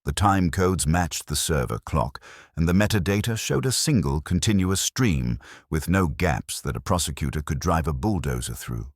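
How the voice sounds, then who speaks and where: Very deep-voiced man narrating detective fiction. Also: lively intonation, dry recording, clean studio sound. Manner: wry, measured. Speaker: audiobook narrator. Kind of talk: scripted first-person detective story narration.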